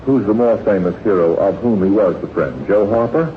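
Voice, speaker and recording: A man's voice: lowish voice, brisk, phone-quality sound, a little hiss or hum. A man hosting a 1940s radio quiz in a witty, urbane, teasing manner, on an old radio recording. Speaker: quiz show host.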